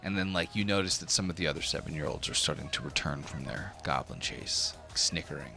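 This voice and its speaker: A man's voice: very deep voice, a little fast, creaky, over music. A man narrating a tabletop fantasy game as game master, in a casual manner. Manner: casual, swearing.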